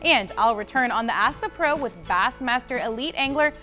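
A young woman reading a fishing forecast in a TV studio in a crisp, scripted way. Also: a little high, animated, moderate pace, over music. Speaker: studio presenter.

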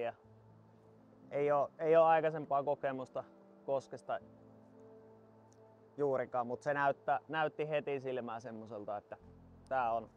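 A Finnish man in his thirties, a fly angler talking casually on the spot while wading in a river rapid at dusk. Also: medium pitch, lo-fi sound. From Finland. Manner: excited shouts while landing a fish, then calm explaining.

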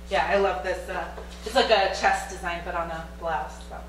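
A woman's voice: on the low side, steady tone, moderate pace. A woman chatting casually between talks in a seminar room. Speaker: fellow panelist. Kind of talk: casual chat. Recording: echoey room, from far off, hum.

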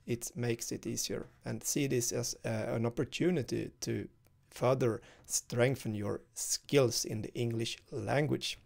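A man's voice: mid-range voice, fairly even intonation, unhurried, gravelly, darkish tone. A man in his thirties with a Swedish accent, explaining a university course in a recorded screen-share walkthrough, talking informally with lots of fillers and restarts. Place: indoor room, screen-share webcam inset.

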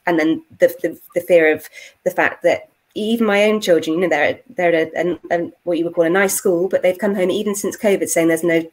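A woman's voice: fairly low pitch, fairly even intonation, fairly quick. A middle-aged woman explaining her views as a panelist on a video call. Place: indoor room. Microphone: earphones on a video call.

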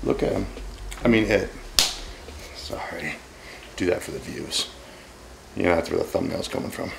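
A man in his forties with a rough, gravelly voice sitting a little low. He hosts a home cooking vlog in a brash, profane, joking way. A frying pan sizzles clearly behind him in the kitchen. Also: normal speed, heavy background noise. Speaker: cooking show host.

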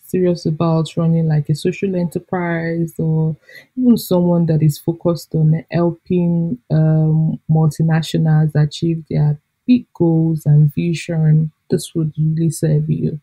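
A Nigerian woman with a somewhat low, husky voice, talking as a podcast co-host. Her tone is mellow and dark rather than bright, and she chats expressively, laughing easily. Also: unhurried, rough recording. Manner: chatty and expressive, laughs often. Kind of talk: casual book review chat.

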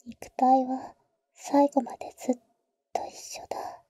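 A woman acts out a protective big-sister vampire for an ASMR audio drama. Her high voice is breathy and often drops to a whisper, with slow, halting, tsundere phrasing that is blunt yet tender. She is very close to the microphone, with a completely dry sound. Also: level tone, dark tone, no room sound. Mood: resigned, devoted. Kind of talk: Japanese ASMR roleplay, intimate whispering.

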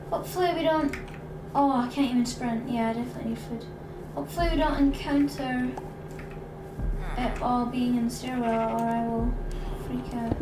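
A woman hosting a gaming video, chatting and thinking aloud as she plays. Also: fairly high pitch. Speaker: gaming channel host. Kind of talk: Minecraft let's play commentary. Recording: echoey room, distant mic, loud noise.

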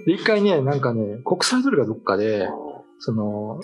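A man's voice: mid-range voice, sing-song, normal speed, darkish tone. A middle-aged man telling stories in casual conversation, often relaying what other people said. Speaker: writer and show regular telling ghost stories. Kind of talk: casual storytelling chat. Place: small meeting room, indoors. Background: faint music.

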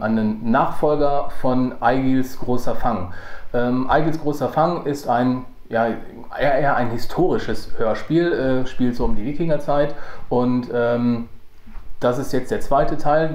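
A man's voice: medium pitch, darkish tone, slight background noise. Man in a roomy space. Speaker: vlog host and audio drama producer. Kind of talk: casual vlog talk to camera. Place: home studio room, indoors. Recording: roomy, faint music behind.

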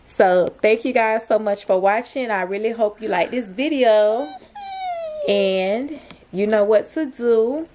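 Slow-talking young woman vlogging casually. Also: medium pitch, a little mellow, slight background noise. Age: young adult. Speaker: vlogger, mother. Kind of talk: casual vlog chat to camera and to her child. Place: small plain room indoors.

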